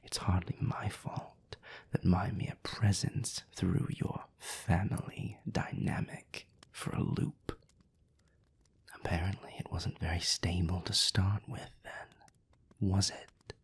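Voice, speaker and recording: A man voicing a haughty, scornful vampire with a raspy, breathy voice in a scripted audio drama. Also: sits low, level tone, takes it slowly, in a whisper, heavily acted. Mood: mocking. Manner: haughty, cutting, sardonic. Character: scornful, condescending male vampire, bitter rival. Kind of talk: scripted audio roleplay acting.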